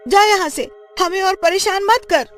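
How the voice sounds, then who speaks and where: A woman voices a protective cartoon village mother with an extremely high-pitched voice. She is distrustful and sharp-tongued, delivering her dialogue emphatically. Light music sits behind her. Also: normal speed. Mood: scornful.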